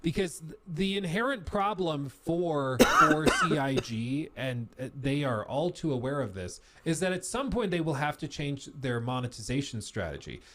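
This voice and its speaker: A man narrating a news report in a measured way, adding wry asides. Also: on the high side, huge pitch swings, sharp tone, slight background noise. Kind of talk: reading a news report with comments.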